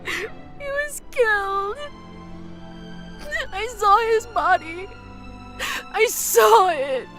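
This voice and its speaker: High-voiced anguished young goddess, crying. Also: female voice, lively intonation, a bit bright, soft background music, full character voice. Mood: tearful grief. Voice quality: strained, breathy gasping delivery. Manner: halting, stammering, pleading outbursts. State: crying, in pain. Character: anguished young goddess, injured, grieving, accusing and desperate.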